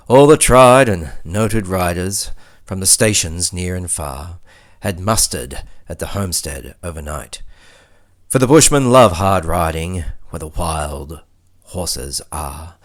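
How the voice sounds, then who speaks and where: A low-pitched Australian man recites poetry with theatrical flair. His delivery is slow and his pitch moves widely, swelling on key phrases and sinking to hushed endings. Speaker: poetry reader. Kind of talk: dramatic recitation of a bush ballad.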